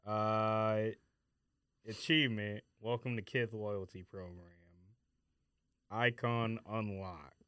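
Bright, clear-voiced man chatting casually. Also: on the low side, steady tone, slow, pauses often, no echo, clean studio sound. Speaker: podcast panelist. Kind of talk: casual chat.

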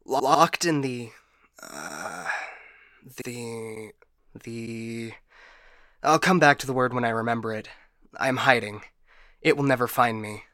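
A man's voice: medium pitch, expressive, slow pace, long pauses, sharp tone, no echo, clean studio sound. A man playing a broken, paranoid ship AI in a science fiction audio drama, stammering and getting stuck repeating words. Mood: fearful, hiding. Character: frightened, broken male ship AI, paranoid and unstable.

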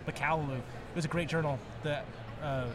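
A man chatting in a rambling, laughing, animated way with lots of fillers as a podcast guest. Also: a little high, brisk. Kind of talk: casual chat. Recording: crowd noise behind. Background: crowd chatter.

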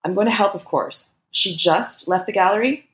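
A woman's voice: mid-range voice, normal speed, fairly bright tone, pitched above the performer's own voice, lightly acted. A woman reader plays a dependable man in an audiobook story. He talks in an alert, exasperated, take-charge way, and the sound carries a noticeable room echo. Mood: exasperated, decisive. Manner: urgent, directive. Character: alert, exasperated, dependable male friend on the phone.

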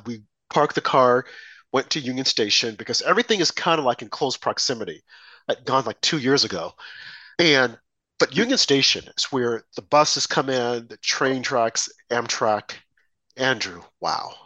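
A man co-hosting a political talk show, hoarse from a mild cold, telling rambling, animated stories. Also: medium pitch, moderate pace, dry recording. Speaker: podcast co-host.

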